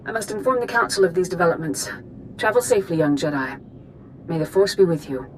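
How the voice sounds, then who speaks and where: An actress playing a wise, stern mystic knight master in a video game, measured and authoritative. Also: female voice, lowish voice, lively intonation, slight background noise, natural voice, low-quality recording. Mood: solemn, authoritative. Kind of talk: video game character acting. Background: music.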